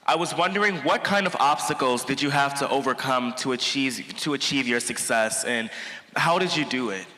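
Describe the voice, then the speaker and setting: A man's voice: mid-range voice, normal speed, sharp tone. A man moderating a live event as emcee, putting questions to a panel. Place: large event hall on stage. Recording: reverberant hall with crowd and faint noise.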